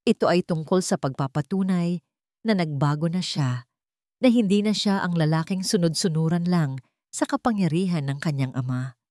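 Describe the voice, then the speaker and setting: A woman's voice: on the low side, moderate pace, dark tone, no echo, studio quality. A woman narrating a scripted dramatic story.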